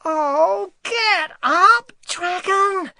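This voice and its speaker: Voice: very high voice, drawn-out words, gravelly, pushed and bright, no room sound, clean studio sound, pitched above the performer's own voice, heavily acted. A reader doing a sobbing little boy. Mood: pleading. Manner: pleading, sobbing. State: crying. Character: tired, tearful little boy.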